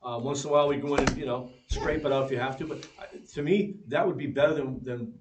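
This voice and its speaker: A man with a New Hampshire accent, talking quickly and good-humoredly at a town meeting. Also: medium pitch, noisy background. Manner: quick, self-deprecating. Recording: echoey room, distant mic.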